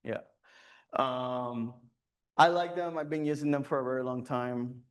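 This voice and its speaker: A man's voice: medium pitch, fairly even intonation, a little slow, smooth. A man speaking as a guest at a photography club meeting, talking loosely with many fillers and restarts. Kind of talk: presentation with audience questions. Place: meeting room.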